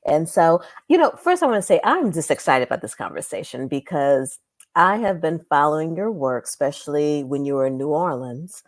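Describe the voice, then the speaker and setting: Low-voiced woman hosting an interview. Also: big pitch swings, normal speed, dry recording. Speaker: podcast host, interviewer. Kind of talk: warm-up interview questions, conversational.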